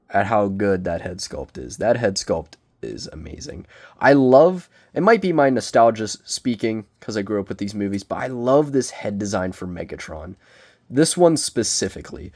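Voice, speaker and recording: Sniffly young man rambling about a figure. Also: on the low side. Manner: rambling, self-deprecating, excitable. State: sniffly from a cold. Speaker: toy reviewer. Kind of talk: casual unboxing review. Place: small bedroom.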